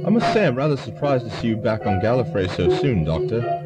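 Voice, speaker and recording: A man's voice: mid-range voice, big pitch swings, a little fast, over loud music, natural voice. A man playing an officious, snide alien official in an audio drama, stiffly formal and brusque. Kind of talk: sci-fi audio drama acting.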